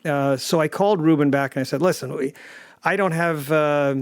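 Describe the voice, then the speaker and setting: A man casually recalling past conversations in an interview, in a halting, conversational way. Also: a little high, smooth, dry recording, studio quality. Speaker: audio drama director and producer.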